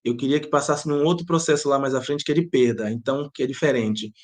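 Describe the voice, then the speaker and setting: A man's voice: mid-range voice, quick pace, a little mellow. A man from Bahia with an accent mixing Bahian and European Portuguese, a playwright and director thoughtfully answering interview questions, often restarting his sentences. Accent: Bahian Portuguese mixed with European Portuguese. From Bahia, Brazil. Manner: thoughtful, searching for words with many restarts.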